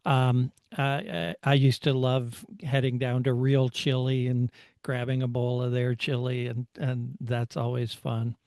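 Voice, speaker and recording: A man talking casually as a podcast host, often halting and restarting his sentences. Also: medium pitch, level tone, a little mellow, smooth, dry recording, clean studio sound. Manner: halting, false starts. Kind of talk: casual chat.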